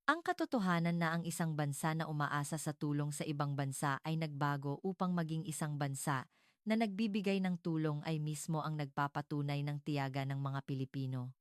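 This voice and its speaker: Smooth low-voiced woman narrator. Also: moderate pace, dry recording, studio quality. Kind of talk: scripted dramatic narration.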